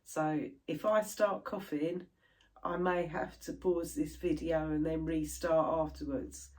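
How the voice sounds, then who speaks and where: A middle-aged British woman chatting casually to camera about her crafts, sounding stuffy and a little croaky from a chest infection. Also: lowish voice. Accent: British English. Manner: chatty, rambling, laughs easily. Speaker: cross stitch vlogger.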